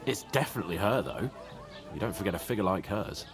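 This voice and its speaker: An actor playing a pompous, excitable young nobleman in a video game, theatrical and quick to indignation. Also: low-pitched, soft background music. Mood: curious, teasing. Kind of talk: dramatic video game dialogue.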